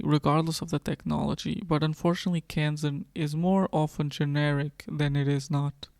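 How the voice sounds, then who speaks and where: A man narrates a game review in a fairly high, smooth voice. His delivery is measured and matter-of-fact, like someone reading from a script. The sound is close and dry, with very little room around it. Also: darkish tone, dry recording.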